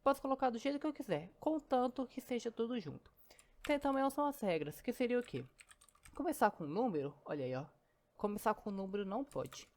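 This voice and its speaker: A woman's voice: on the high side, animated, a little fast, darkish tone. A woman teaching programming in a casual screen-recorded lesson, chatty and step by step, often saying "ó" and "né".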